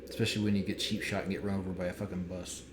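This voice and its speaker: A man's voice: deep voice, level tone, rapid-fire, background noise. A man giving casual, sweary, sardonic game commentary on a home stream. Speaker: game developer streaming a playthrough.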